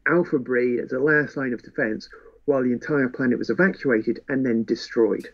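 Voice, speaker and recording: Lo-fi dull audio, man, mid pitch, casual book chat, co-host. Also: moderate pace. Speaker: podcast co-host. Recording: dull, lo-fi sound.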